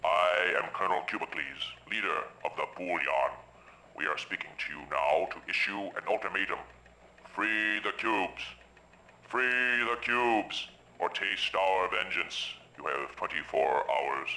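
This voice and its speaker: A man's voice: sits low, a little slow, pushed and bright, heavily acted. A man playing a pompous alien cube colonel in a video game, declaiming commands like a dictator. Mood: menacing. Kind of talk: villainous video game cutscene speech. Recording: echoey, distant, very noisy game audio.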